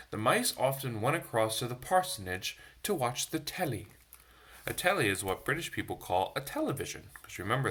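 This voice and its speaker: Bright-voiced man reading a bedtime story aloud. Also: medium pitch. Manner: expressive, chatty asides to young listeners. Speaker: storytime reader for a church. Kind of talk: reading a picture book aloud to children. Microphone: phone.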